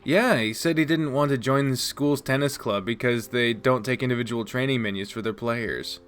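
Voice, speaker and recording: A man voicing a troubled, guarded teenage boy athlete in a low, subdued way. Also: normal speed, a bit bright, clear, resonant tone, lightly acted. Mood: chatty.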